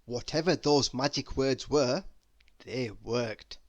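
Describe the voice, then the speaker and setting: A narrator reading a children's story aloud in an expressive, scripted storytelling style. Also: medium pitch, slow, no echo. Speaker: storybook reader.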